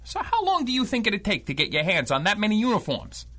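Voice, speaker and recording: An actor playing a middle-aged, wisecracking 1930s crime reporter with a slangy, wry delivery in a radio drama. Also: male voice, high voice, wildly swooping pitch, fast, sharp tone, full character voice. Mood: wry, animated.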